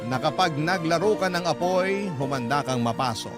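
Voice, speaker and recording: A man's voice: fairly high pitch, animated, normal speed. A man with a Filipino accent reading a listener's letter as a dramatic radio narration. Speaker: radio show host and story reader. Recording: loud music under the voice. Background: loud instrumental music.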